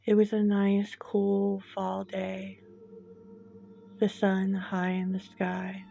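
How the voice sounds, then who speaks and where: A woman narrating a scripted horror story in a slow, hushed, suspenseful manner with long deliberate pauses. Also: mid-range voice, monotone, creaky, a little hiss or hum. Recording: dull lo-fi, music bed behind. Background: background music.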